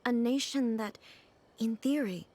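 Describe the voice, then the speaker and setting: A woman playing a dignified, reserved female ruler in a video game, speaking in a formal, measured, earnest way. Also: on the high side, a little mellow, slight background noise, close to an everyday voice. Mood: solemn. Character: dignified, reserved female ruler deity, naive about modern life. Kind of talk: video game dialogue acting. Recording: game music behind the voice.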